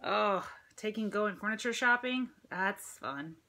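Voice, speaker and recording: Bright-voiced American woman reading a picture book. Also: medium pitch, measured pace. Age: middle-aged. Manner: expressive, exaggerated reading with questions to listeners. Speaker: storybook reader, teacher reading to children. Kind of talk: read-aloud of a picture book with chatty asides to young listeners. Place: indoors at home, room with dresser and ceiling fan. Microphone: phone or tablet at arm's length.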